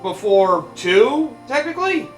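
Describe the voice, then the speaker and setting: A man chats casually as a co-commentator on game cutscenes. His pitch moves around in a lively, animated way. The sound is roomy and echoey, with clear music behind him. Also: unhurried.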